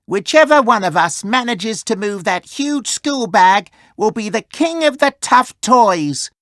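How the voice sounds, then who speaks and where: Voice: mid-range voice, lively intonation, measured pace, a bit bright, dry recording, clean studio sound, pitched above the performer's own voice. A storybook narrator voicing a plucky, friendly little male cuddly toy. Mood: eager, determined.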